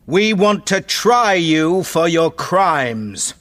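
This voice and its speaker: A woman voicing a stern, commanding male musketeer leader with solemn, measured speech. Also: fairly low pitch, very animated, heavily acted. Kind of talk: audiobook character voice.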